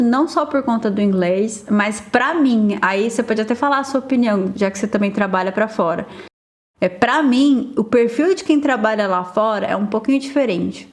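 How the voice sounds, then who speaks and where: Chatty Brazilian woman, slightly high voice. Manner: chatty, many fillers, quotes others in lively asides. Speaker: guest software engineer. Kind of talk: casual podcast conversation.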